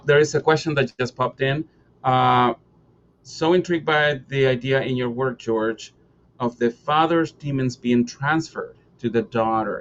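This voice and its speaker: A man's voice: medium pitch, a bit bright. Dull, noisy recording of a male moderator. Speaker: panel moderator. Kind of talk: hosting a post-show discussion. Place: online video call. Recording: dull video call with background noise.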